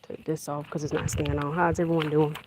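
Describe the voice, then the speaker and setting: An adult teacher chats loosely on a phone livestream from a backyard garden. The talk rambles, with restarts and trailing thoughts. A running generator leaves steady noise behind the voice. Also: mid-range voice, fairly quick. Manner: rambling and chatty, with many restarts. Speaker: teacher of young children. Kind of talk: casual livestream chat while gardening. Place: outdoor yard garden. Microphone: handheld phone livestream. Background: generator hum and vehicle noise.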